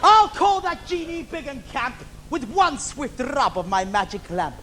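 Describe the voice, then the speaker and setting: High-pitched camp scheming pantomime villain. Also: male voice, expressive, a little slow. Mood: excited, demanding. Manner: theatrical, declamatory, camp. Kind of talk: adult pantomime stage acting with rhyming verse and innuendo. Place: theatre stage. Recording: noisy live theatre stage recording.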